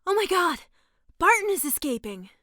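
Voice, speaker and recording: A woman's voice: very high-pitched, sing-song, normal speed, sharp tone, full character voice. A woman playing a villain's obedient henchwoman in an audio drama. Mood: alarmed.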